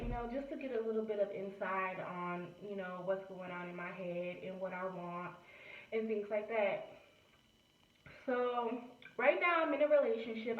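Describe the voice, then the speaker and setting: A young woman in her late twenties chats to camera in a relaxed, rambling way, leaning on "you know" and speaking. Her voice sits fairly high. The sound is echoey and thin, like a phone recording in a room at home. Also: recorded from a distance, phone-quality sound. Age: late 20s. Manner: chatty, many fillers like "you know". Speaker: vlogger. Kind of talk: casual chat to camera.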